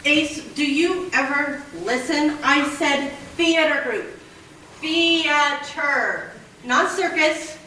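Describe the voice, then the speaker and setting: A woman's voice: high-pitched, pushed and bright, a little hiss or hum, full character voice. A woman playing a scolding station boss. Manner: scolding, brisk. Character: bossy woman running a small radio station. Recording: echoey hall, distant mic.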